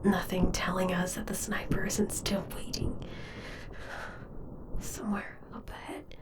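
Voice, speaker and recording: A woman's voice: lowish voice, huge pitch swings, measured pace, far from the mic, full character voice. Woman as whispery, wounded female robot flier. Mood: strained, irritable. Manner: breathy, strained, sardonic. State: injured, weakened. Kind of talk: audio roleplay drama.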